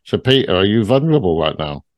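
A man with a very deep voice, recorded close and dry with no room sound. He leads the discussion, asking probing questions and reflecting the others' points back to them. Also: sing-song, quick pace, dry recording. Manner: probing, sums up what others say. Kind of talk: moderating a group discussion.

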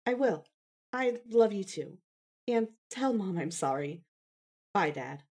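A woman's voice: mid-range voice, expressive, slow pace, darkish tone, husky, full character voice. A woman playing a wry, articulate, affectionate young woman in a fan fiction audio drama.

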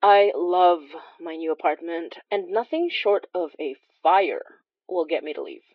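A woman's voice: lowish voice, lively intonation, measured pace, dry recording. An actress playing a rambling, self-deprecating Filipino immigrant woman who confides hesitantly and chattily in an audio drama monologue. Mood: chatty, wry. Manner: hesitant, chatty, trailing off and correcting herself. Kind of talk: audio drama monologue as an intimate phone-call confession. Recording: slightly dull, dry close recording.

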